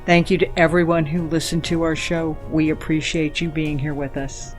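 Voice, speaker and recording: A male announcer reads scripted closing material for an audio drama. His voice is a bit high for a man, over faint music. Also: flat delivery, moderate pace. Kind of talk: scripted credits and episode announcement.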